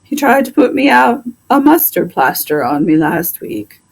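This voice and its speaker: A woman voicing a plain-spoken, indignant male plow horse with drawn-out, emphatic complaints in a storybook. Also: on the high side, very animated, gravelly, slightly rough, pitched above the performer's own voice, full character voice, poor audio. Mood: scornful.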